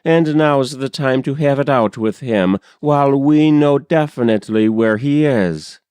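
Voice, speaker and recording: Frightened rural wife in a poem, played by a man: headstrong, insistent, pleading, smooth-toned, dry recording. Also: medium pitch, measured pace, darkish tone, no room sound, lightly acted. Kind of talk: dramatic poetry reading.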